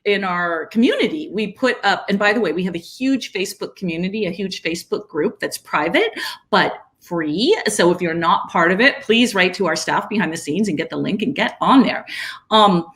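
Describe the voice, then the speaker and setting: Fifty-something woman pitching a webinar, upbeat and persuasive, talks right to you. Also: lively intonation, moderate pace, fairly bright tone. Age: 50s. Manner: upbeat, persuasive, addresses viewers directly. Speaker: webinar co-host. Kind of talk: promotional webinar chat.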